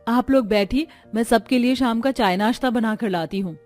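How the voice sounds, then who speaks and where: A woman voices a hospitable elder daughter-in-law in a cartoon. She is dutiful and courteous, in a slightly high voice with faint music underneath. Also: moderate pace, darkish tone, natural voice. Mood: polite, welcoming. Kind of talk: Hindi moral-story cartoon dialogue.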